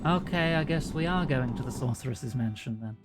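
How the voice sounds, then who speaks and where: A man at a medium pitch chats through a video game stream and jokes as he goes. He thinks aloud in a loose, relaxed way. Clear game music plays behind his voice. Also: brisk. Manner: joking, chatty, thinks aloud. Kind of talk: casual let's-play commentary.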